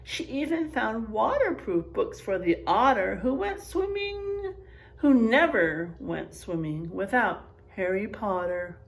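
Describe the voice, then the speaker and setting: A woman's voice: a little high, lively intonation, takes it slowly. A woman teacher reading a picture book aloud to children, expressively, stretching out rhymes and adding chatty, exclaiming asides.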